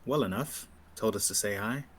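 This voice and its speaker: A man reading a composed, persuasive rebel officer with a husky voice in a sci-fi table read. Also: on the low side, moderate pace, fairly bright tone, a little hiss or hum, natural voice. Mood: friendly, dry.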